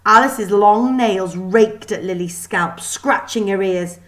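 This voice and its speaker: Female storybook reader, medium pitch, narrating children's fiction with expression. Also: slow pace.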